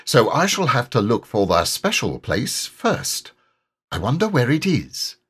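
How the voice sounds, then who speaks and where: Man voicing a slow, humble, muddled storybook bear. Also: mid-range voice, wildly swooping pitch, a bit bright, husky, exaggerated voice. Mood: puzzled, earnest. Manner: hesitant, ponderous, simple and earnest. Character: humble, muddled, slow-witted, amiable male toy bear. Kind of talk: children's storybook character voice.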